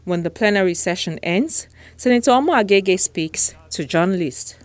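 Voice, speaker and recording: A woman's voice: mid-range voice, animated, moderate pace, no echo. A woman in her thirties with a Nigerian accent, presenting and interviewing for a television news programme. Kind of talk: scripted news narration and interview.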